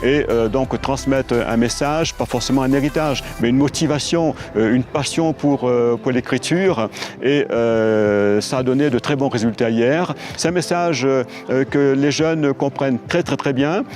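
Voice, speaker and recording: A novelist whose first language is Creole speaks outdoors with easy enthusiasm about writing. Music drifts faintly in the background. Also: male voice, medium pitch, unhurried, a little mellow.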